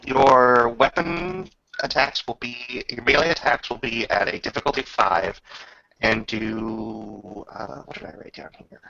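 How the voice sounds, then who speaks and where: A man with a gravelly voice acts as game master, casually narrating a tabletop roleplaying game with informal, descriptive talk. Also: medium pitch, big pitch swings, unhurried, sharp tone, lo-fi sound.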